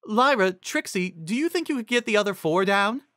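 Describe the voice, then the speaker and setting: A man voicing a bright, inquisitive female earth pony who explains things. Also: high voice, expressive, normal speed, no room sound, studio quality, voice pushed up.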